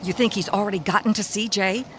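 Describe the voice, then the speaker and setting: A woman's voice: mid-range voice, steady tone, quick pace, background noise, close to an everyday voice. A woman playing an anxious, skeptical, well-mannered hotel guest in a radio mystery. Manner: worried, questioning.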